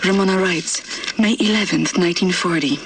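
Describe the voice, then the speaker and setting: A woman voicing a grave young diary reader in a video game audio log, soft, restrained and matter-of-fact. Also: on the low side, normal speed, distant mic, a little hiss or hum, lightly acted, low-quality recording. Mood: somber.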